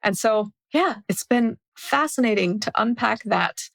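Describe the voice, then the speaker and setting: A woman in her forties from India, a guest telling her story in a reflective, measured way during a casual interview. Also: fairly high pitch, expressive, gravelly, dry recording. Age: 40s.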